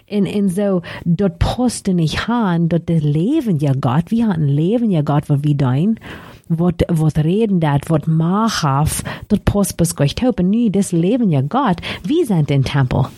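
A woman explains a Bible passage, stops often and starts her sentences over. Her voice is low and warm. The sound is dry and close. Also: expressive, husky, no echo. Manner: halting, restarts often. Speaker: discussion participant. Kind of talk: casual Bible discussion.

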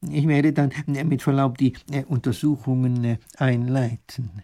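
A man's voice: mid-range voice, little pitch movement, moderate pace, mellow, close to an everyday voice. A man voicing a fussy, hesitant old male scholar who stammers and fills his speech with ums.

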